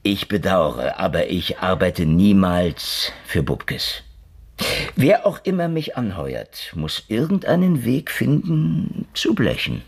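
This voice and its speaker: An actor playing a cynical, tough private detective, sardonic and hard-boiled, in a noir radio drama. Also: male voice, deep voice, big pitch swings, slow, gravelly, husky, exaggerated voice. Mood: sardonic, flirtatious. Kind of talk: noir radio drama with first-person narration. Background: music.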